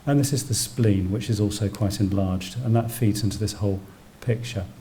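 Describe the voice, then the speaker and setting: Low, mellow-voiced British man lecturing. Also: steady tone, normal speed, slight background noise. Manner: technical, explains terms plainly as he goes. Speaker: doctor lecturing on emergency radiology. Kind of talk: public medical lecture, explanatory. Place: indoor public lecture venue.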